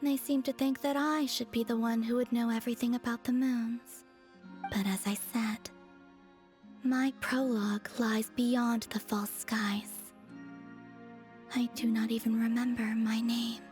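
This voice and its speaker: Woman as a soft, high-voiced enigmatic moon goddess maiden. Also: lightly acted. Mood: calm, wistful. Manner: measured, formal, deadpan. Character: serene, enigmatic young moon goddess maiden, aloof and formal. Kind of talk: English video game dialogue acting. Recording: faint music behind the voice. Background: faint background music.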